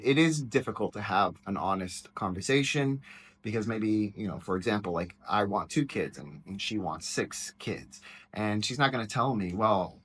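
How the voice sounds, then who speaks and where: Male host, low-set voice that carries, candid and rambling in casual reaction talk. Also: breathy edge. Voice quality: loud, penetrating voice. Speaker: teacher and author reacting to his own book.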